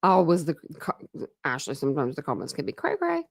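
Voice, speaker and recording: A woman's voice: low-pitched, wildly swooping pitch, vocal fry, dry recording. American woman rambling on a true crime stream, midwest-southern mix accent, dry close sound. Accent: mixed Midwest and Southern American. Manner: chatty, rambling, quick asides with laughs. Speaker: true crime livestream host. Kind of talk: casual livestream chat reading comments.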